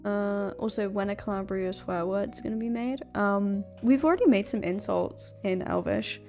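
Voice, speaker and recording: British woman, relaxed stream co-host, creaky voice, soft music bed. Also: medium pitch, normal speed. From United Kingdom. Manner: chatty, laughs often, lots of ums. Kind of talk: casual livestream chat.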